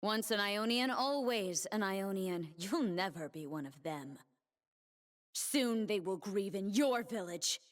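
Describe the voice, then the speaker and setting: A woman's voice: mid-range voice, expressive, slow. A woman playing a proud, stern young warrior who defends her homeland, with a solemn, defiant, cutting delivery for a video game. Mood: stern, scornful. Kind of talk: video game character voice lines.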